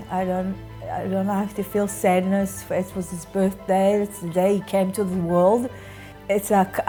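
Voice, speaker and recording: A woman in her fifties giving a reflective, halting and earnest personal account in a documentary interview. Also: mid-range voice, warm tone. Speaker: interviewee, bereaved daughter. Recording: music underneath. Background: music.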